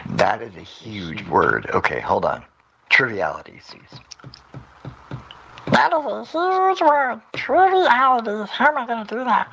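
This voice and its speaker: A man with a gravelly, raspy voice performing audio drama lines, breaking off into exasperated asides and retakes. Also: medium pitch, sharp tone, muffled recording. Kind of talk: voice acting outtakes with muttered retakes and asides.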